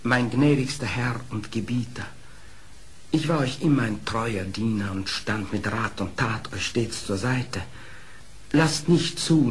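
An actor playing a sly, smooth-tongued fox in a radio play, speaking in a formal, theatrical, pleading manner. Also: male voice, mid-range voice, close to an everyday voice. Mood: humbly pleading. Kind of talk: classic German radio play acting. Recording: old radio play record, noisy.

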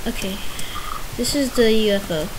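A woman's voice: fairly high pitch, normal speed. A young woman giving a rambling, self-interrupting commentary while touring a game world she built. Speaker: Minecraft video host. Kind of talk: casual gameplay tour commentary. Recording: hissy home recording.

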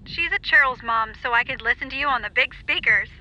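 Bright, high-voiced teasing sister on phone. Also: female voice, a little fast. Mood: playful, fond. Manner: breezy, teasing. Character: playful, teasing twin sister of a reporter. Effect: telephone filter. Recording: dull, band-limited phone call.

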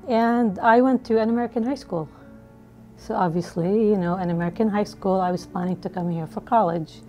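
Soft-toned woman telling her story. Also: lowish voice. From Liberia. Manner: conversational, frequent "you know" fillers. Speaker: interviewee. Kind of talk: personal storytelling. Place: studio with dark backdrop.

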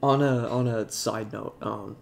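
Young man, gravelly congested voice, halting and soft-spoken, faint hiss behind. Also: on the low side, fairly even intonation. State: congested, sniffling. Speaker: YouTuber. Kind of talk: casual talk over gameplay.

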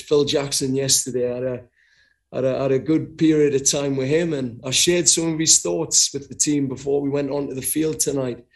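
Middle-aged man, frank coach on a video call. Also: medium pitch. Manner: frank, repeats words while thinking. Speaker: head coach. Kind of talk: post-match press conference answers. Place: indoors before a sponsor backdrop.

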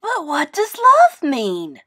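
Storybook young boy pig, played by a woman: eager, innocent, very high and animated. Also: slow pace, heavily acted. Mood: curious. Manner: excitable, earnest.

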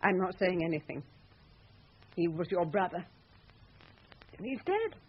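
A woman's voice: on the low side, sing-song, a little slow, slight background noise. A woman acting in a radio drama as a scornful, exasperated wife with a mocking, cutting manner. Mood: angry, sarcastic. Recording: tinny, band-limited radio drama recording.

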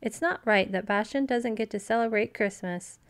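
A woman voicing an excitable, tender-hearted young girl bunny in a storybook, with an expressive, earnest delivery. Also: on the high side, moderate pace, lightly acted. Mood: wistful, determined.

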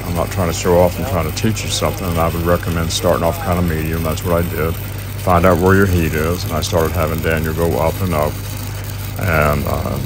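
A man's voice: low-pitched, noisy background. A man teaching welding in a plainspoken, folksy voice-over. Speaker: welding instructor. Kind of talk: instructional voice-over narration.

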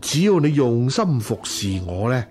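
An actor playing a lecherous, coaxing rich master of a household in a radio drama. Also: male voice, mid-range voice, sing-song, measured pace, over music. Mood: lewd, coaxing. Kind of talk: Cantonese radio drama acting.

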